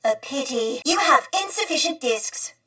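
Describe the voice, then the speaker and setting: A courteous female droid in a video game, high-pitched and crisply bright. The droid speaks in formal, old-fashioned phrases, prim and a little fretful. The voice sounds slightly distant from the mic. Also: animated, moderate pace, exaggerated voice. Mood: politely apologetic. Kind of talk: video game character dialogue.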